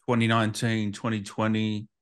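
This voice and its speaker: A man's voice: lowish voice, monotone, moderate pace, smooth. A man hosting a casual interview, enthusiastic as he reacts and asks questions. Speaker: interview host.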